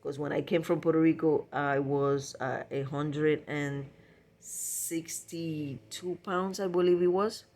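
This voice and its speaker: Male vlogger, voice a touch high, informal and halting, often doubling back on his words. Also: steady tone, darkish tone. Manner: halting, repetitive, self-correcting delivery. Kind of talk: casual personal vlog talk.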